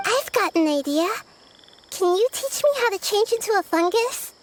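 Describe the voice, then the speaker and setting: A woman voicing a kind, wise, childlike young goddess in a video game, gentle and composed. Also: very high voice, lively intonation, moderate pace, a little hiss or hum. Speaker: game character. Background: game music.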